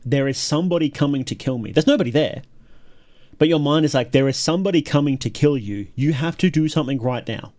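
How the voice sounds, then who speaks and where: A man's voice: a little high, fast talker, a little mellow. A man hosting a livestream, giving casual, enthusiastic reaction commentary with emphatic repetition. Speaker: livestream host reacting to videos.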